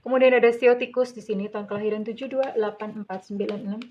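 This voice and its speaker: A woman's voice: medium pitch, fairly even intonation, fast talker, a little mellow, muffled recording. A middle-aged woman with a Javanese-influenced accent, giving a soothing tarot reading to her viewers, calling them dear. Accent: Javanese-influenced Indonesian. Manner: soothing, addresses listener as dear, calls herself Bunda. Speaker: tarot reader.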